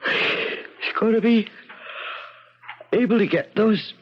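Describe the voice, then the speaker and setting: A woman's voice: medium pitch, slow pace, slight background noise, lightly acted. A woman playing a groggy, bewildered victim of betrayal in a 1970s radio drama, faltering drowsily on a muffled recording. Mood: drowsy, dismissive.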